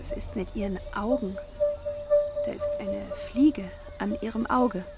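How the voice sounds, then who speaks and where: A woman's voice: mid-range voice, wildly swooping pitch, unhurried, loud music behind the voice. An actress in a radio drama playing a distraught, fragile woman searching for her missing husband. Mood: urgent. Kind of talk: dramatic German radio play acting.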